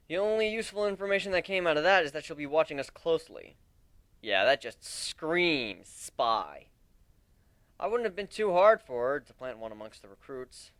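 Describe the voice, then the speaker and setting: A hot-headed young griffin, acted by a man for a storybook reading. The voice is sarcastic and exclaiming, swinging widely in pitch, and the sound is dry, with no room on it. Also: fairly bright tone, clear, resonant tone, no room sound. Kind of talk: dramatic reading of fan fiction.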